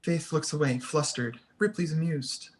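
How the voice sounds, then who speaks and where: A woman's voice: deep voice, normal speed. A woman reading screenplay stage directions as the narrator of a table read.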